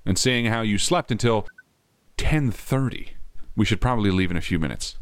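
Man playing a dry, low-voiced, animated sarcastic cop. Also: normal speed, dry recording, natural voice. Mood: practical, helpful. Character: sardonic, practical male police officer, patient but teasing friend. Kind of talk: naturalistic audio drama acting.

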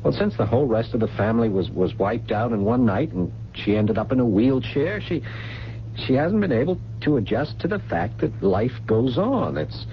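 A weak-willed, tormented heir in a radio thriller. He stammers and pleads, his pitch lurching up and down. The recording is muffled and faintly hissy. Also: big pitch swings, moderate pace. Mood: defensive. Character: weak, tormented rich son under his lover's thumb. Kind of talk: radio mystery drama acting.

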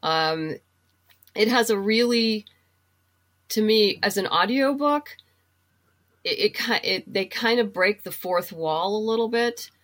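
A woman's voice: medium pitch, takes it slowly, pauses often, fairly bright tone. A woman speaking as an interview guest, explaining things conversationally and thinking aloud in a casual chat about books. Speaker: guest, comic book writer and novelist.

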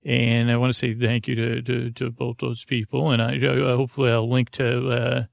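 Creaky-voiced man rambling through a bedtime podcast. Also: medium pitch, level tone, no room sound. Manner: meandering, halting, repetitive, trailing into tangents. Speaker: podcast host.

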